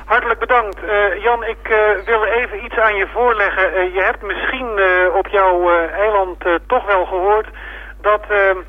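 Halting Dutch reporter, high tinny voice. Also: male voice, steady tone. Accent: Dutch from the Netherlands. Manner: halting, searching for words. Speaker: radio reporter. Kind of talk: interview questions, improvised. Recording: narrow, tinny phone line.

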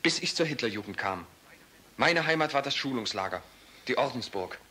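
A young German soldier in a radio drama, dutiful and idealistic. His mid-pitched voice is bright and tense, with formal, earnest delivery over a faint hiss. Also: expressive. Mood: subdued, confiding. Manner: formal, earnest, halting when confiding. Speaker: actor in a radio play.

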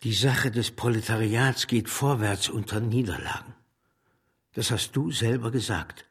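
Husky, defiant revolutionary leader. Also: male voice, medium pitch, unhurried. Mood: weary, firm. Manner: declarative, resolute. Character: defiant, unbowed male communist revolutionary leader. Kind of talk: German radio drama acting.

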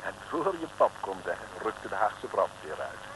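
Vintage radio narrator: wry, deliberate, mock-dramatic, very varied intonation, slight hiss. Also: male voice, fairly low pitch, very animated. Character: wry male storyteller of a comic radio serial. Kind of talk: scripted narration. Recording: noisy old radio recording.

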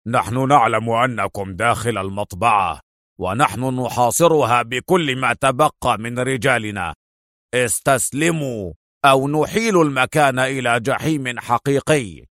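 A man's voice: mid-range voice, a bit bright, no echo, studio quality, close to an everyday voice. Man playing a stern, angry villain commander. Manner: harsh, commanding, declaiming.

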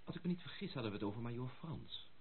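An actor playing a courteous, gallant young gentleman in a Dutch radio play, politely teasing and gently reproving. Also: mid-range voice, animated, fast talker, lightly acted. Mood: teasing, firm. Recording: tinny, band-limited, noisy old radio recording.

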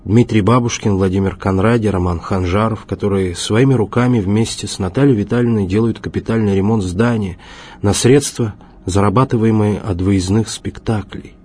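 A male radio documentary narrator with a low, mellow voice. The tone is dark and rather husky, and he reads a prepared script. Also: moderate pace.

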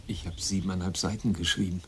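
An actor playing a troubled, guilt-ridden American boy of sixteen in a German radio drama, speaking haltingly and repeating words in agitation. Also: sits low, flat delivery, faint music behind. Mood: subdued, weary.

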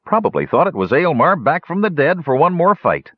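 A man voicing a gray-haired old male dwarf innkeeper who talks gruff and blunt, pitched well above his own voice. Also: big pitch swings, brisk, no echo. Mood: reminiscing. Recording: dull lo-fi dry recording.

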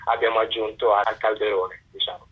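A man's voice: lowish voice, level tone, brisk, slightly rough. A man interviewed as a guest, explaining practical details in a conversational way over the telephone. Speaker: interview guest, theatre representative. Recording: muffled telephone line.

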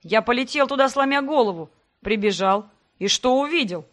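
A woman's voice: fairly high pitch, lively intonation, moderate pace, a bit bright. A woman voicing a jealous, lovesick male necromancer who raises his voice in reproach. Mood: heated.

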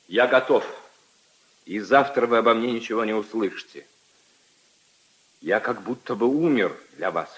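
An actor playing a lovelorn minor official, resigned, earnest and polite, in a solemn 1950s radio drama style. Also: male voice, on the low side, moderate pace, pauses often, close to an everyday voice, rough recording. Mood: resolute, pleading.

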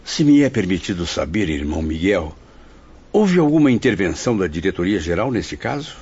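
Casting: deep-voiced, deferential spirit disciple, formal and curious, muffled radio drama with music. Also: male voice, sing-song, moderate pace, darkish tone, husky, heavily acted. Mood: respectful curiosity. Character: respectful male spirit student, earnest, curious. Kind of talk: radio drama acting and narration. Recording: dull recording over music.

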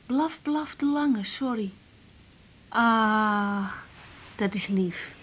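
High-voiced, husky young woman doctor. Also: drawn-out words, a bit bright, full character voice. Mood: sincere. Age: young adult. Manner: earnest, soothing, hesitant. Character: concerned, gentle young woman doctor, patient daughter. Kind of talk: radio drama acting.

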